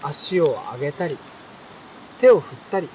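A man reading a children's story aloud in a relaxed, unhurried way, pausing between short phrases. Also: fairly high pitch, rough recording. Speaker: reader. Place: outdoors at night by a campfire at a campsite. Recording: clear insect and outdoor night noise. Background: insects, stream, campfire.

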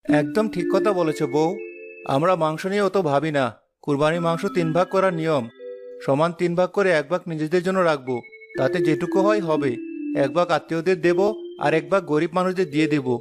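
A man acts an honest, humble cartoon villager with a rather high voice. He speaks in an earnest, measured way as a pious husband, with music clearly behind him. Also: natural voice. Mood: earnest, content. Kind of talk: Bengali cartoon dubbing.

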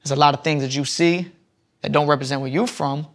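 Young man telling stories, gravelly. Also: on the high side, fairly even intonation, fast. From Southern United States. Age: young adult. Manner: rambling storytelling with restarts. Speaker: podcast guest. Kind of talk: casual interview chat. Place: studio lounge set. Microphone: lapel mic.

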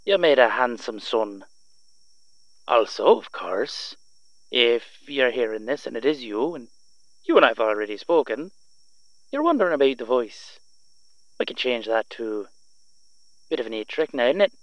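Casting: male actor as smug young half-vampire, vengeful and sardonic, animated delivery, dull playback sound, audio drama. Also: medium pitch, normal speed, long pauses, no room sound, close to an everyday voice. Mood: smug, taunting. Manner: theatrical, sardonic, taunting. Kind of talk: audio roleplay villain monologue.

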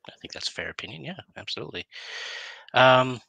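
A man's voice: medium pitch, lively intonation, fast, gravelly, bright, forceful tone, slightly rough, no room sound, muffled recording. A middle-aged man hosting a casual interview over a headset, asking questions in a rambling, informal way. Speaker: interview show host. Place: plain indoor room, video call.

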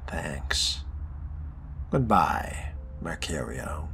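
Man playing a deep, slow, sardonic vampire. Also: gravelly, full character voice. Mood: wry, musing. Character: suave, sardonic, brooding male vampire fledgling. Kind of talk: role-play narration of a video game.

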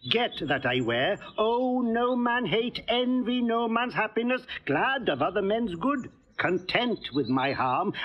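Simple honest shepherd, rustic, husky, voice bobs up and down. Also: male voice, high-pitched, dull audio. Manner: plain, rustic. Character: humble, honest, good-natured country shepherd. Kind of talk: Shakespearean stage comedy acting.

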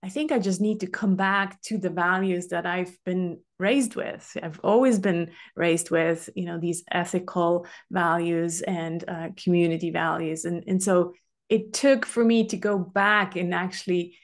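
A woman's voice: on the low side, steady tone, measured pace. A middle-aged woman from Switzerland, a guest telling her story in a casual conversation on a video call, from a room at home. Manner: chatty, open storytelling with frequent fillers. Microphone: webcam on a video call.

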